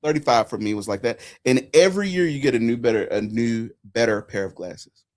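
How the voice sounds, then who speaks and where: A man of forty hosting a casual political chat, telling stories animatedly, swinging between loud and hushed. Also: medium pitch, brisk. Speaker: podcast host.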